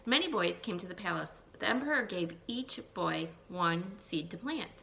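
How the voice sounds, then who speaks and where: Creaky-voiced woman reading aloud to children. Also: lowish voice, moderate pace, slight background noise, low-quality recording. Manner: expressive, thinking aloud with questions to young listeners. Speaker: elementary school teacher. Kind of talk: picture-book read-aloud with asides to children.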